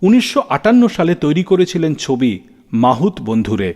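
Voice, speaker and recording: A man's voice: on the high side, big pitch swings, brisk, darkish tone. A man hosting a music radio show, narrating scripted stories in a storytelling style. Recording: long reverb, music underneath. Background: faint background music.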